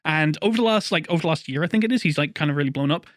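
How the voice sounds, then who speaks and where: A man's voice: a little high, lively intonation, rapid-fire, no echo, clean studio sound. A young man from the UK with a slightly posh British accent, co-hosting a podcast in rambling casual chat, laughing often. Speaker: podcast co-host.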